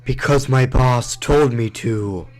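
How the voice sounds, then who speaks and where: A man's voice: medium pitch, slow, close to an everyday voice. A man voicing a grudging, sullen henchman in an amateur audio drama.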